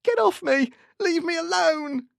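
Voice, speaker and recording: Casting: frightened boy under arrest in an audiobook crime drama, shrill, screaming, pleading. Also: expressive, a little slow, creaky, a bit bright, no room sound, clean studio sound, heavily acted. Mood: panicked, begging. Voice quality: high-pitched, screaming. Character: terrified boy suspect, screaming and begging.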